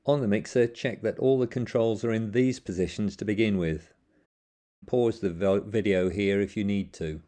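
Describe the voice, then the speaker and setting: A man with a fairly low, warm and mellow voice reads step-by-step instructions as a voice-over. He keeps his delivery plain and methodical and restarts the odd word when he stumbles. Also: normal speed. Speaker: instructional narrator.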